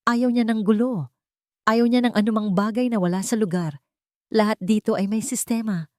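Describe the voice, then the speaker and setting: A woman's voice: fairly high pitch, moderate pace, darkish tone, no room sound, clean studio sound, voice pushed up, natural voice. A woman voicing a two-faced senior housemaid in a story, an aunt figure who admonishes firmly. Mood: firm warning.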